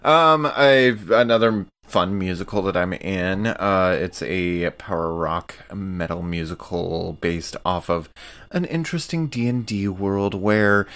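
A man's voice: deep voice, takes it slowly, a bit bright, clean tone. A man and tabletop game player talking with upbeat enthusiasm on a podcast. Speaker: player and musical performer. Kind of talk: casual podcast chat.

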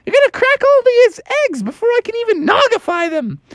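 A man voicing an excitable young boy sidekick in a bedtime story, in a very high, whiny squeak. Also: fairly quick, no room sound, full character voice. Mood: whiny, excited.

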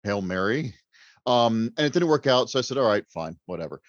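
A man's voice: medium pitch, sing-song, brisk. A man chatting casually as a guest author on a podcast, wordy, self-deprecating and joking. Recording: roomy, slightly dull microphone sound.

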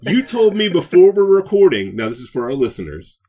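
A man's voice: high voice, very animated, fairly quick, warm tone, breathy edge. A man co-hosting a podcast in casual, slangy, informal banter. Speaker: podcast co-host. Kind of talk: casual banter reviewing movies and shows.

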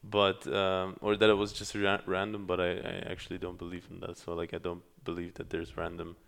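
A man from Germany hosting a podcast, speaking in a halting way with many pauses and restarts. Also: sits low, monotone, creaky, fairly bright tone. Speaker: podcast host. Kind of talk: casual podcast chat.

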